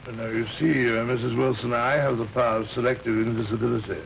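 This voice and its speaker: Muffled old radio drama, guy acting as polite oddball scientist villain, creaky voice, hems and haws. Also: medium pitch, flat delivery, natural voice. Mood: mild regret. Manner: courteous, hesitant, unhurried politeness. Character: genial eccentric male scientist villain, politely unrepentant. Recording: muffled vintage broadcast, faint noise.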